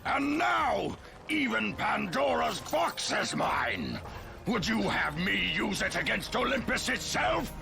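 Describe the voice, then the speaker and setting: An actor voicing an arrogant, wrathful god of war in a video game, taunting and grandiose in a theatrical villain style. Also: male voice, high-pitched, very animated, slow pace, gravelly, heavily acted. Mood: furious gloating. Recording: loud music under the voice.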